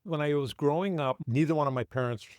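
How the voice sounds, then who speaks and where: A man's voice: on the high side, darkish tone, dry recording. Older man recalling family history, dry. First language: Finnish. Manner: unhurried, with halting restarts and self-corrections. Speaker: guest. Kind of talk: reflective storytelling interview.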